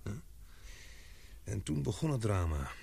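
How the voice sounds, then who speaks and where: Gravelly, earnest expedition man. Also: lowish voice, sing-song, unhurried, dark tone, dry recording, exaggerated voice. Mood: grave, explaining. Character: earnest, insistent male expedition member uncovering a grim truth. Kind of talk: Dutch radio play acting.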